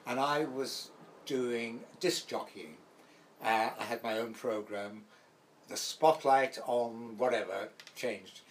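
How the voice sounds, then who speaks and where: A man's voice: medium pitch, slow. An older man from Wales tells his stories in an interview, rambling and anecdotal, slipping into the voices of the people he quotes. His light Welsh accent colors an everyday mid-range voice. A faint hiss sits under the recording. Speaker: actor guest. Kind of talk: reminiscing interview.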